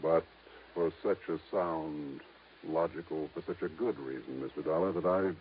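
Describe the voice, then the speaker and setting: An actor playing a measured, discreet lawyer with a formal, deliberate way of talking in a 1950s radio drama. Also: male voice, extremely low pitch, slight background noise. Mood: confiding, hesitant. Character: measured, discreet small-town lawyer guarding a secret. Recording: tinny, narrow-band vintage radio recording.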